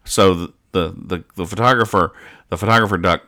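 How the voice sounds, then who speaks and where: Bright-voiced man, rambling and low. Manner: rambling, halting, joking. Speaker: podcast host. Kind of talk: casual chat, describing comic strips aloud.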